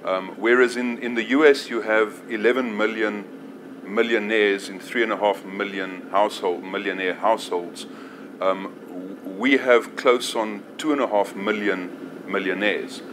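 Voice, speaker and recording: A man's voice: lowish voice, fairly even intonation, a little slow, background noise. A South African man in his forties giving a conference presentation, joking and self-deprecating, with frequent restarts. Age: 40s. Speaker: conference speaker. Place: conference session room.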